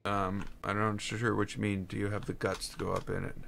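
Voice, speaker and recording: A man with a bright, edged tone talks through his game stream in a loose, halting way. His voice has a gravelly fry at the bottom. Keyboard typing and clicks sound behind him. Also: fairly low pitch, level tone, a little hiss or hum. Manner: rambling, halting, answers chat between tasks. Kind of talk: casual live-stream chat while building.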